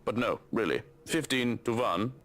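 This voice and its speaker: An actor playing an unruffled, condescending gentleman, formal and dryly teasing, in a visual novel. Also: mid-range voice, expressive, moderate pace, close to an everyday voice. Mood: dry, amused. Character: amused, unruffled, condescending ginger-haired gentleman.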